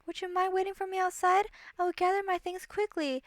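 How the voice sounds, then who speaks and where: A woman's voice: extremely high pitch, little pitch movement, brisk, no room sound, voice pushed up. A woman voicing a naive, dramatic young princess in a storybook read-aloud, prim and formal. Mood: polite, composed.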